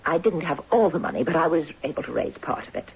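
Elderly widow, very husky, hesitant, genteel, wistful, muffled 1970s radio drama. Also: female voice, deep voice, lively intonation, fast talker, darkish tone, full character voice. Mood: apologetic, earnest. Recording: dull 1970s radio broadcast recording.

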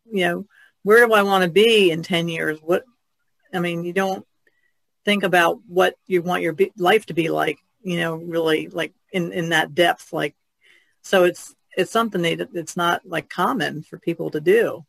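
A woman's voice: fairly low pitch, fairly even intonation, normal speed, gravelly, dry recording. A woman chatting casually and reflectively as an artist guest on a podcast.

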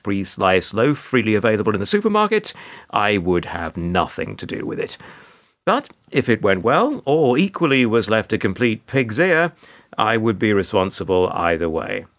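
Male actor as put-upon hotel receptionist for radio drama: resigned, wordy, lively intonation, dry close sound. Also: on the low side, no room sound. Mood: weary. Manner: wry, resigned, long-winded and formal. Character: world-weary, put-upon male hotel receptionist narrating his troubles. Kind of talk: radio play monologue and dialogue.

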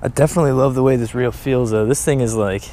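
A man's voice: medium pitch, quick pace. Young man vlogging outdoors while fishing. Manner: chatty and excitable, talks to the camera and to fish. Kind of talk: casual first-person commentary while fishing. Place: outdoors by a pond and dam. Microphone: head-mounted action camera. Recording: outdoor ambience, wind and water noise.

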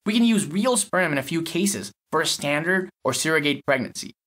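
Man playing a cynical, higher-pitched lab technician. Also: lively intonation, brisk, a bit bright. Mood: matter-of-fact. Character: cynical, blunt male lab technician, slick explainer. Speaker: audio story character, fertility lab technician. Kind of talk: acted dialogue in an audio short story.

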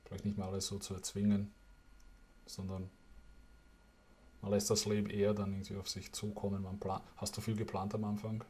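A man's voice: fairly low pitch, monotone, a little fast, warm tone, slightly rough. A young man interviewing a friend in a casual, reflective, conversational way, seated at a table indoors. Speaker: interviewer, vlog host. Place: small wood-panelled room indoors, at a table.

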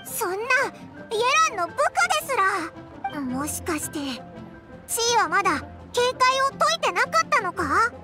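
A woman voicing a tiny childlike fairy companion, excitable, curious and expressive, in a Japanese video game. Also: very high voice, a little slow, loud music behind the voice, full character voice. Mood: curious, worried.

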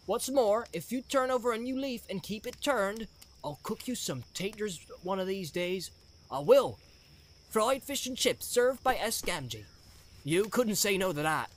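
High-voiced homely rustic hobbit servant. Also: male voice, animated, unhurried. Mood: coaxing, exasperated. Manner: folksy, scolding, practical. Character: plain-spoken, stubborn, homely young male hobbit servant. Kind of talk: dramatised audiobook character voice. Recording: clear outdoor ambience noise behind.

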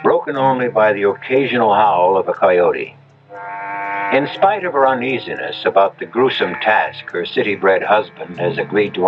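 A man hosting and narrating a radio drama in a solemn, deliberate storytelling manner. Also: lowish voice, highs cut off. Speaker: host and narrator. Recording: band-limited old radio recording, music behind.

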